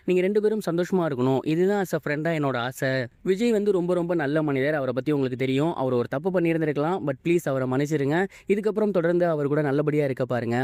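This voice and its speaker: Male online news presenter, soft-edged tone, brisk scripted delivery. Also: fairly high pitch, no room sound, clean studio sound. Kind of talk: scripted celebrity news narration.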